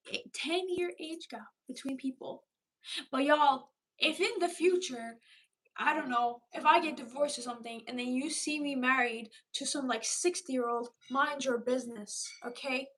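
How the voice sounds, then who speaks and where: A woman in her twenties hosting a casual livestream chat with viewers, animated and talkative, often repeating herself for emphasis. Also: sits high, rough recording. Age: 20s. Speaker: livestream host chatting with viewers.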